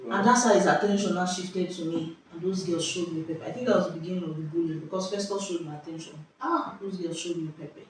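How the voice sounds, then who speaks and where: A young woman with a Nigerian accent tells a story in an animated, exclamatory way, cutting herself off and restarting. Her voice bounces around a very echoey room. She sits some way from the mic, and a faint hiss runs underneath. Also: on the low side, quick pace, hardly any pauses, recorded from a distance. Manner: lively, exclamatory, self-interrupting. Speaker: vlogger. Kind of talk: casual storytelling chat. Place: indoors at home.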